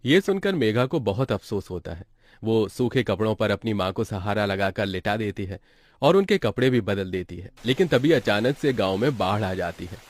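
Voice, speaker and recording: A man's voice: on the low side, very animated, moderate pace, dry recording, studio quality. A man reading scripted narration as the storyteller of a moral tale.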